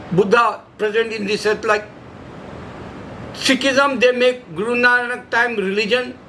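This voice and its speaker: A man's voice: extremely high pitch, bright, forceful tone, slight background noise, low-quality recording. A man from India whose first language is Urdu, speaking in broken English with an Indian accent, preaching in short emphatic phrases. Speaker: religious video maker. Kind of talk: solo preaching monologue.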